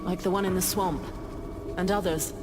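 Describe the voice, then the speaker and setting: An actress playing a skilled, earnest sorceress in a video game, with a measured, explanatory delivery. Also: female voice, fairly low pitch, steady tone, noisy background, natural voice. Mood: concentrated. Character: skilled, earnest female sorceress who probes the dead.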